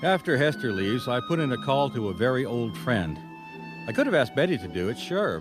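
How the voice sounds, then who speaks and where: An actor playing a dry, world-weary private detective in a radio mystery, speaking in a matter-of-fact way. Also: male voice, mid-range voice, close to an everyday voice. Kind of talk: radio mystery acting with first-person narration.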